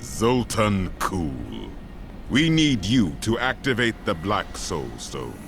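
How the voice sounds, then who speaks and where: An actor playing a fallen angel turned mortal in a video game cutscene, stern and measured. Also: male voice, fairly low pitch, wildly swooping pitch, creaky, a bit bright, soft background music, exaggerated voice.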